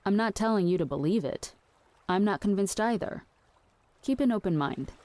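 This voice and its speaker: An actress playing an earnest, pedantic woman researcher with fringe theories in a scripted audio drama, speaking in a measured, matter-of-fact way. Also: medium pitch, animated, warm tone, no echo, lightly acted.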